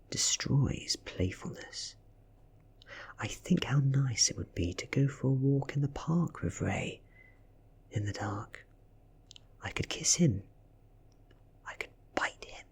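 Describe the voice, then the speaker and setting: A British man reading a story in a soft ASMR style, half-whispered and breathy, with deliberate dramatic pauses. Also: fairly low pitch. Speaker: audiobook reader.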